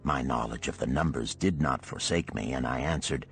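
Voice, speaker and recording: A man narrating a parable as a scripted audiobook, in a measured, expressive storytelling manner. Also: extremely low pitch, creaky, slightly rough, soft background music.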